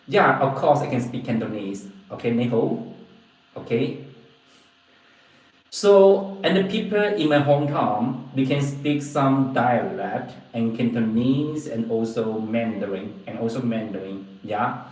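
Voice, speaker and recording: A man from southern China with a southern Chinese accent, a native Chinese speaker, hosting a casual livestream and replying to viewers, often halting and repeating phrases as he searches for words. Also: medium pitch, a little mellow. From Guangdong, southern China. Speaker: livestream host. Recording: very echoey room, distant mic.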